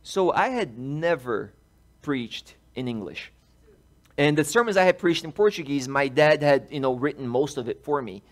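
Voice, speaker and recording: Man in his thirties from Brazil, animated pastor preaching a story-led sermon in a church, headset mic, voice a touch high. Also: normal speed. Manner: animated, gestures while speaking. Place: large church sanctuary, indoors.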